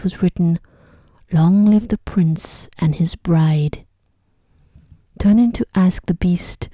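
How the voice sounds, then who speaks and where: A woman reads a bedtime story in ASMR style, soft, breathy and often whispering, gentle and unhurried, close to the microphone. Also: lowish voice, no room sound.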